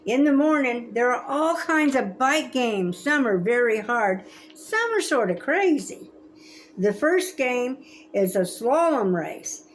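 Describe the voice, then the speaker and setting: A woman in her seventies reading a children's story aloud in a gentle, expressive, grandmotherly way. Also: a little high, slow, slight background noise, poor audio. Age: 70s. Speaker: storybook reader.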